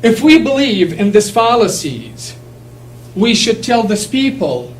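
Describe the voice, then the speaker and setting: A man with a thick Iraqi Arabic accent, a native Arabic speaker, giving an earnest, emphatic speech to a college class and halting now and then to restart. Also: sits high, sing-song. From Iraq. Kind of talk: impassioned public speech. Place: classroom or lecture hall. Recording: distant mic with faint hum.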